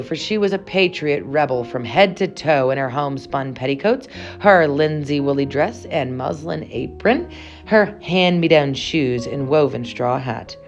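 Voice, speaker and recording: A woman narrating a children's picture book with expressive, dramatic and deliberate phrasing. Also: sits low. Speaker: read-aloud storyteller.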